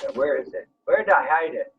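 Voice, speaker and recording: Husky guy on a video call, kinda high and bouncy voice, wry, muffled lo-fi audio. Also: animated, fairly quick, a little hiss or hum. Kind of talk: casual meeting chat.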